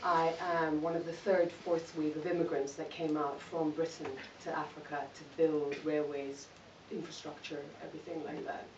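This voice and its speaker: A woman's voice: low-pitched, flat delivery, normal speed, hardly any pauses. A middle-aged woman from Malawi giving a candid, self-mocking talk to an audience and laughing often. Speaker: guest speaker. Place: event hall. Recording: echoey hall, distant mic, dull sound, noisy.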